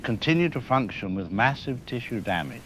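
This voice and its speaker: An actor playing a grave, erudite virologist in a radio drama, speaking formally and deliberately with weighty pauses and cold fatalism. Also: male voice, mid-range voice, big pitch swings, lightly acted. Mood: cold, grim. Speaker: expert guest. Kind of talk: scripted radio drama interview. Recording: hiss, rain and thunder noise. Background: rain, thunder, hiss.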